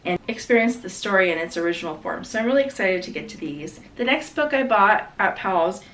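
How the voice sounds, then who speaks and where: A woman's voice: medium pitch, a bit bright. A woman recovering from a cold, chatting enthusiastically as a book vlogger about her recent book haul. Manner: chatty, enthusiastic, self-correcting. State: getting over a cold. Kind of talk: casual book haul chat. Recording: slightly distant mic, faint background noise.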